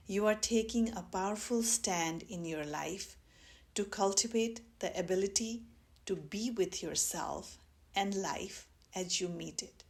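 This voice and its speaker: A woman teaching mindfulness, guiding a meditation in a gentle, measured way with long pauses between phrases. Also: medium pitch, gravelly. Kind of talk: guided meditation instruction.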